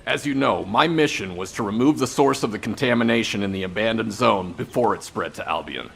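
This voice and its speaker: An actor playing a gruff, battle-worn squad leader in a game, blunt and intense, with a gravelly, raspy voice. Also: male voice, on the low side, lively intonation, brisk, a bit bright, heavily acted. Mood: grim, frustrated. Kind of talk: scripted game dialogue.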